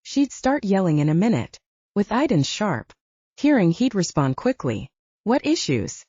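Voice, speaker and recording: A woman's voice: medium pitch, sing-song, normal speed, warm tone, no echo. A woman reading a novel aloud as scripted audiobook narration, in a slightly dull recording.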